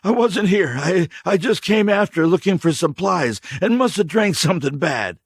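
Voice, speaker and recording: A man's voice: high voice, expressive, moderate pace, husky, no echo, studio quality, pitched above the performer's own voice, exaggerated voice. A man voicing a greasy, scared drifter who stammers and whines defensively. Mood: nervous pleading.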